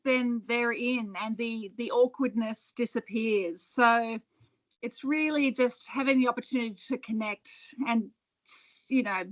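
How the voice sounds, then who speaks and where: A woman guest on a video call, answering an audience question in a thoughtful, relaxed way. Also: on the high side, a little slow, no echo, rough recording. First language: not Dutch; Dutch is her second language. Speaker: guest speaker, fundraising expert.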